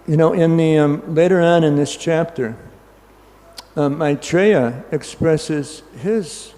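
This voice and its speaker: An older man giving an informal scripture class, talking loudly and in a halting, rambling way full of ums and you-knows. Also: a little high, in a large hall. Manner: loud, says he has a loud voice.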